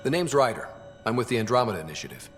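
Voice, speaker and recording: An actor playing an earnest, confident young human explorer in a video game, speaking politely and eager to help. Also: male voice, medium pitch, sing-song, racing, close to an everyday voice. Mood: determined. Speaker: pathfinder. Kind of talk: video game dramatic acting. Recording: long reverb, faint music behind.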